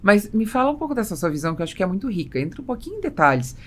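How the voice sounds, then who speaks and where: A woman's voice: mid-range voice, sing-song, rapid-fire. A woman with a Brazilian Portuguese accent hosting a conversational interview, readily affirming and prompting her guest. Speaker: podcast host.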